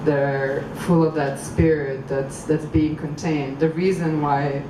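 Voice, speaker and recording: Woman from Croatia, low voice, slow and halting, poetry reading, distant mic, echoey outdoor space with traffic noise. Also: little pitch movement. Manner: halting, thoughtful spoken intros, measured reading. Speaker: poet reading at a public event. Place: outdoor public venue.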